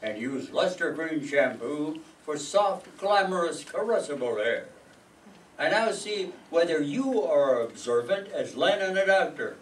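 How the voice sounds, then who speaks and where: A man's voice: a little high, lively intonation, a little slow, slight background noise. An older man reading scripted old-time radio announcements as the show's announcer on stage. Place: meeting room stage before an audience. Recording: echoey room, distant mic, music behind.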